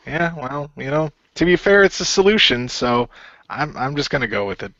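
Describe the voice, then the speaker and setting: A man's voice: a little high, sing-song, normal speed, sharp tone, dry recording, dull audio, voice pushed up. A man playing an easygoing, hesitant and rambling young hero in an audio role-play. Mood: agreeable. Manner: rambling, hesitant, circling back.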